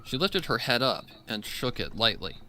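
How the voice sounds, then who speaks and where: A man's voice: medium pitch, sing-song, normal speed, sharp tone, slight background noise, low-quality recording. A man reading scripted story narration as a fan fiction narrator.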